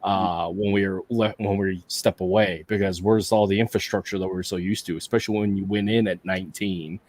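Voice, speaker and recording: A man's voice: deep voice, fairly even intonation, a little fast, a little mellow. A middle-aged man from Hawaii hosting a casual podcast conversation, laid-back and reflective, often repeating himself as he thinks aloud. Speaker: podcast host.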